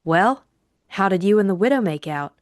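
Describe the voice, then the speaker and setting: A woman's voice: medium pitch, animated, moderate pace, no room sound, studio quality, lightly acted. A woman voicing a blunt, inquisitive young secretary in an audiobook, asking direct questions. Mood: impatient, curious. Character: brisk, blunt, nosy young female secretary. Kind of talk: audiobook character acting.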